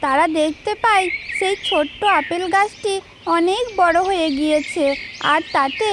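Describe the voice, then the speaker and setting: A woman's voice: very high-pitched, normal speed, background noise. A woman storyteller narrating a scripted fairy tale for a children's cartoon. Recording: loud children's music and birdsong behind.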